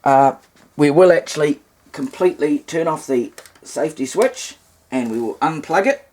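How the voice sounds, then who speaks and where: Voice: medium pitch, animated, normal speed, darkish tone. A hobbyist with a New Zealand accent talks casually while demonstrating equipment, rambling and thinking aloud. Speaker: vintage radio hobbyist and presenter. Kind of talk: casual hands-on demonstration commentary. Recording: clearly noisy amateur home recording.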